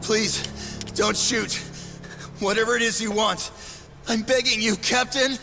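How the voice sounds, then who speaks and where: An actor playing a desperate, foul-mouthed, loyal young yakuza underling in a dramatic video game cutscene. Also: male voice, high-pitched, steady tone, a little slow, over music. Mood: pleading, scared. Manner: swearing, stammering under pressure.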